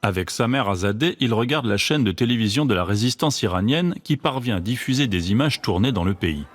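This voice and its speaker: A man reading a scripted documentary voice-over in a measured, even way. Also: on the low side, soft background music. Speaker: documentary narrator.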